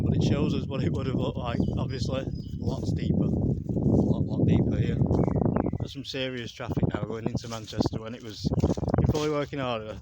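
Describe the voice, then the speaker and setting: An English man with a slight Mancunian lilt gives a casual, rambling, musing history commentary while walking outdoors. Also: mid-range voice. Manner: conversational, digressive, musing. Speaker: vlogger and documentary narrator. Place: outdoors along a rural canal path. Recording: noisy outdoor recording with wind and birds. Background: wind, birdsong, outdoor noise.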